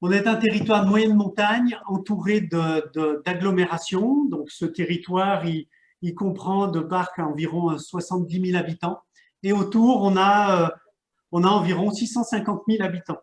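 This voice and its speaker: High-voiced man in an echoey room. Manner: halting, repeats words. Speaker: mayor and regional park president. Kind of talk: panel presentation.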